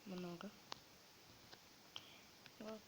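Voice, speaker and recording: A woman who is a little ill talks in a low, half-whispered murmur, as if to herself. Her sore throat shows in her voice, and her pitch sits mid-range for a woman. Loud, steady noise runs under the recording. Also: extremely slow. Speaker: gamer narrating her play. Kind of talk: casual let's-play commentary.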